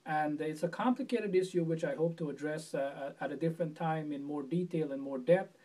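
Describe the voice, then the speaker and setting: A man from Guyana answering interview questions as a presidential candidate, in an earnest, measured way with small restarts. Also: on the high side, warm tone, distant mic.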